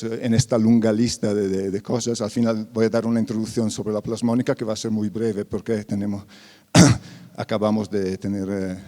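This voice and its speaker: Mellow-voiced man lecturing, halting delivery. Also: fairly low pitch. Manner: halting, frequent fillers and self-corrections. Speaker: invited lecturer. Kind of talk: academic plenary lecture. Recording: reverberant lecture hall.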